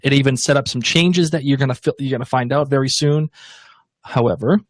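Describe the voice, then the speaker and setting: A man's voice: medium pitch, brisk, no echo. A man co-hosting a TV recap podcast, enthusiastic and rambling, laughing easily. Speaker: podcast co-host. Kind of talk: casual TV recap chat.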